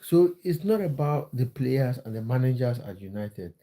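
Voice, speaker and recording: A man's voice: medium pitch, animated, normal speed, mellow. A man from Cameroon hosting a casual livestream, reading viewer comments aloud and answering them with plenty of fillers and repeated words. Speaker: livestream host answering chat. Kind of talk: casual livestream chat about football.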